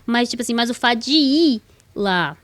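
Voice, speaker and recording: A woman's voice: a little high, animated, normal speed, fairly bright tone, dry recording. A woman from Bahia, a slightly shy podcast guest telling her story and laughing easily. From Bahia, Brazil. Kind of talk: casual testimony interview.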